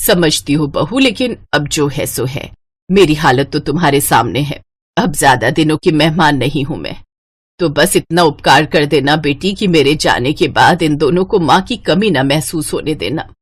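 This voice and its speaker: A woman voicing a frail, doting old mother-in-law in an animated story, fussing and plaintive. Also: deep voice, moderate pace, breathy edge, dry recording, studio quality, voice pushed down. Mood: weary, pleading. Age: older. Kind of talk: animated moral-story cartoon voice acting.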